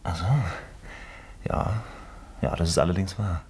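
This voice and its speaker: Lowish-voiced, affable, blustering friend. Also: male voice, fairly even intonation, a little slow, darkish tone, slight background noise. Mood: puzzled, agreeing. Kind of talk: German radio play acting.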